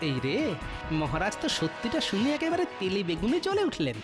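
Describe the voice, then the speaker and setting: A woman's voice: mid-range voice, huge pitch swings, brisk. A woman voicing a clever, bold male court jester in a comedy cartoon, with a sly, theatrical way of reasoning. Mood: sly, confident. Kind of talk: Bengali comedy cartoon dubbing. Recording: music behind the voice. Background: background music.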